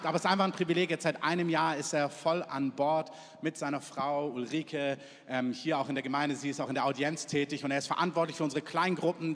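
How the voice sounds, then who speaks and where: A man's voice: on the high side, a bit bright. Male church host in a reverberant hall. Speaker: church leader introducing a guest preacher. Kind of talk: spoken live introduction. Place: large church hall, live before a congregation.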